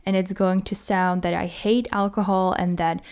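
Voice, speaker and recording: A woman's voice: mid-range voice, level tone, normal speed, darkish tone, clean tone. A young woman from the Republic, hosting her own podcast in an earnest, carefully worded, reflective monologue. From Czech Republic. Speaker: podcast host. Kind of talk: scripted solo podcast monologue.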